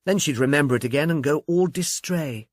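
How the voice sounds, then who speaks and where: A man's voice: fairly high pitch, brisk, no echo, studio quality, natural voice. A man voicing an agreeable, candid young film actress with a breezy, gossipy manner in an audiobook. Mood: breezy, thoughtful.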